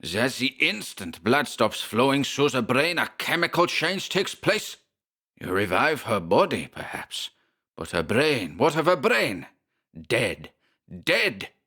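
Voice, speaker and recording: A man's voice: on the high side, wildly swooping pitch, normal speed, pushed and bright, voice pushed up, full character voice. A man voicing a furious little German scientist with a German accent and a shrieking, explosive delivery.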